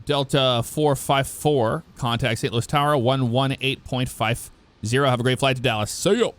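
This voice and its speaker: A man speaks as a ground controller in a flight simulation. He delivers standard air traffic phraseology in short, procedural chunks, with a medium-pitched voice. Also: normal speed.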